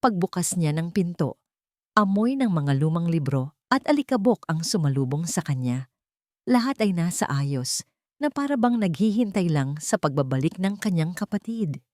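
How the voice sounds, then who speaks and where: A woman narrates a scripted drama in a fairly low voice. Her intonation moves widely for dramatic storytelling, and the sound is close and dry. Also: sing-song, moderate pace, darkish tone, no room sound.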